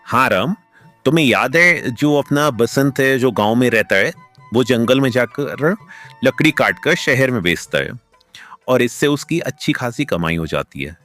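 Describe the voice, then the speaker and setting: Expressive village farmer acted by a man. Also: medium pitch, measured pace, no room sound. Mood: thoughtful, hopeful. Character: two earnest village farmer friends, adult men. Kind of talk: cartoon story dialogue. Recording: music behind the voice.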